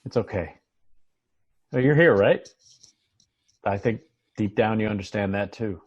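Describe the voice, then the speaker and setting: A man reading the part of a troubled, earnest former doctor in a dramatic table read, halting and reflective. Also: on the low side, breathy edge, lo-fi sound. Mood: reassuring. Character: troubled, earnest male former doctor seeking a fresh start.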